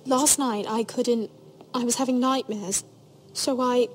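A woman's voice: a little high, fairly even intonation, measured pace, lightly acted. A woman acts as a grave teenage girl of a noble house in a fantasy game drama. She speaks with earnest, troubled urgency and intensity, with music playing behind her. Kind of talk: dramatic video game acting.

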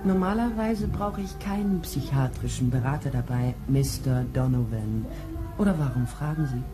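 A woman's voice: a bass voice, normal speed, over music. An actress playing a tall, sardonic female private detective in a radio play, dry and challenging as she talks and narrates. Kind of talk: radio play dialogue and first-person narration.

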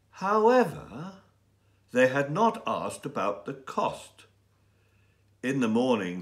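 Male storyteller, sixties. Slightly low voice, unhurried, careful diction for language learners. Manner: careful, deliberate enunciation. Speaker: story reader. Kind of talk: scripted story narration for English learners. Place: small indoor room with bookshelves and window.

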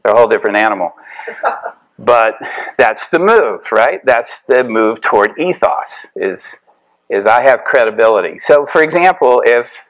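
A man's voice: mid-range voice, moderate pace, low-quality recording. Middle-aged man lecturing conversationally. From Tennessee, USA. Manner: conversational, animated. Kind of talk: lecture to a class. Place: hotel-style lecture room, indoors.

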